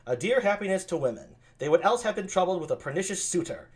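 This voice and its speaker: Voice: medium pitch, big pitch swings, fast talker, voice pushed up, lightly acted. A reader voicing a witty, scornful young lady with a mocking edge.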